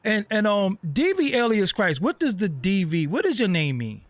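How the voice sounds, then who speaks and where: A man's voice: sits high, sing-song, normal speed, no room sound. A man hosting an online interview, chatting casually with lots of fillers and street slang. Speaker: host.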